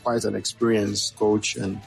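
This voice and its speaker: A man's voice: on the low side, little pitch movement, measured pace, creaky, faint music behind. A man speaking as a football official in an interview, hesitant with many fillers and restarts. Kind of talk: interview clip.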